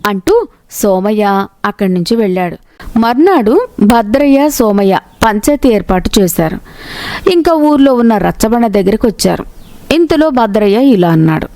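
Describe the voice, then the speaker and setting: A woman's voice: medium pitch, big pitch swings, moderate pace, mellow, no echo. A woman reading a scripted moral tale as its narrator.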